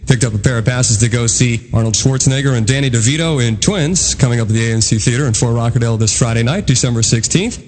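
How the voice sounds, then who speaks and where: A man's voice: mid-range voice, fast talker, hardly any pauses, darkish tone. A man working as a rock radio DJ, with punchy 1980s FM patter and announcer delivery. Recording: 1980s radio aircheck, music underneath. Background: music.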